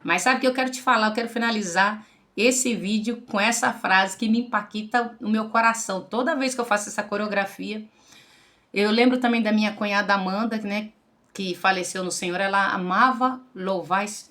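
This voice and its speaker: A woman's voice: mid-range voice, normal speed, fairly bright tone. A Brazilian woman in her forties, a pastor, giving an earnest religious testimony to the camera and addressing listeners as brothers and sisters. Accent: Brazilian Portuguese. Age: 40s.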